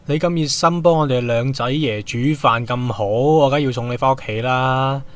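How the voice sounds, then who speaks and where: A man's voice: medium pitch, normal speed, natural voice. A man playing a polite, sincere schoolboy footballer in a radio drama, with Hong Kong Cantonese speech and a slightly hesitant manner. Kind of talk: Hobby radio drama acting. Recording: music bed under the dialogue.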